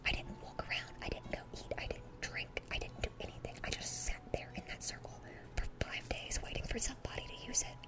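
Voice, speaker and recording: A woman's voice: fairly quick, close to an everyday voice. A woman playing a dorky, sweet-natured young demon girl in an ASMR roleplay, whispering in a rambling, chatty, earnest way. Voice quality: breathy, unvoiced whisper.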